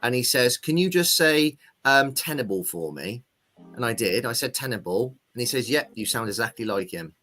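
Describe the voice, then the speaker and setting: A man's voice: mid-range voice, expressive, normal speed, bright, forceful tone, faint music behind. A man co-hosting a livestream chat, joking and self-deprecating. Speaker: co-host.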